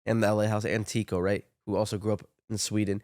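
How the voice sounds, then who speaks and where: Male podcast host, rapid chatty delivery, lowish voice, dry close mic. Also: little pitch movement, darkish tone, no echo. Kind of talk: casual interview chat.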